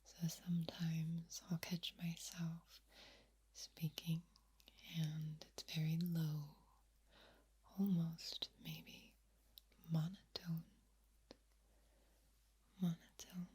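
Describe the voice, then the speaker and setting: A woman with a low voice, whispering ASMR to the viewer in a soft, drawn-out, playful way and softly repeating words. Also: little pitch movement, breathy edge. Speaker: ASMR creator and streamer.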